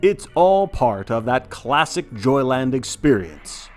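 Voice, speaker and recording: A man playing a cheery, booming amusement park announcer in a retro commercial, emphatic and showman-like. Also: very animated, slow, faint music behind. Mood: gleeful, salesy. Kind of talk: retro theme park advertisement.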